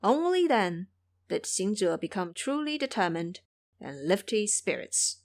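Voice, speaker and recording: A woman's voice: mid-range voice, very animated, a little slow. A woman narrating a scripted fantasy tale as the storyteller of an audio drama.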